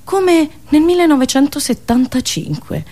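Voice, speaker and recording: A woman radio host telling a satirical story theatrically, expressive and deliberate, with dramatic pauses between listed phrases. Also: high voice.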